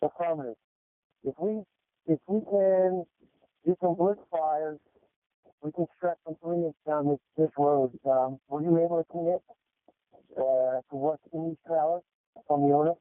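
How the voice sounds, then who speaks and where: A man's voice: on the high side, fairly even intonation, measured pace, long pauses, no room sound. A man working as a firefighter, talking in emergency radio traffic over a two-way radio. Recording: tinny narrowband radio transmission.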